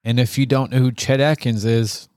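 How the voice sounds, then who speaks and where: Male co-host, medium pitch, dry recording, laid-out informally in halting, repetitive guitar chat. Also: fairly even intonation, darkish tone, clear, resonant tone, dry recording. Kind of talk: casual guitar talk show chat.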